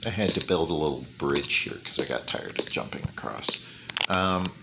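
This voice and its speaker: A man with a deep bass voice talking slowly and deliberately over gameplay, with many fillers. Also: creaky, very noisy. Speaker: gaming YouTuber. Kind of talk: casual commentary over gameplay.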